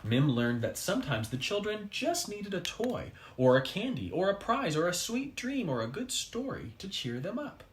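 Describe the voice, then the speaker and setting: A mid-pitched storybook reader delivers a children's tale with animated, swooping intonation. The reading is expressive, with dramatic pauses for young listeners, and faint noise sits behind the voice.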